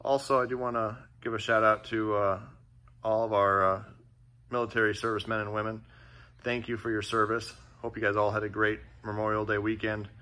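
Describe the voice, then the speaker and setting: A man who runs a fabrication shop, casually walking through the projects in his large indoor shop in a relaxed, plainspoken way, with many "uh"s and "um"s, recording on a handheld phone. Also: on the low side, fairly quick.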